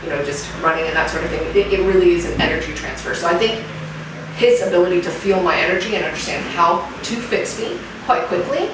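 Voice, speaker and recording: A low-pitched, middle-aged woman with a Canadian accent speaks reflectively, often restarting her sentences. She is recorded from a distance in a very echoey room. Steady background noise sits under her voice. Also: fairly quick. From Singapore. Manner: thoughtful, reflective, with frequent restarts. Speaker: interviewee, fitness client. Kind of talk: casual interview testimonial.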